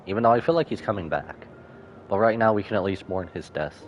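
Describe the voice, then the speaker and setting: A man's voice: fairly low pitch, fairly quick, lo-fi sound, faint music behind. A man streaming a game with casual reaction commentary, informal, muttering asides and tossing out exclamations.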